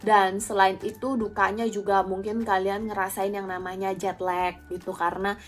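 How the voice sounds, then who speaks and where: A woman's voice: medium pitch, level tone, moderate pace. A young woman with an Indonesian accent, talking casually and informally to viewers as she answers their questions. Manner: informal, conversational, addresses viewers directly. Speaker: vlogger, former or current flight attendant. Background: background music.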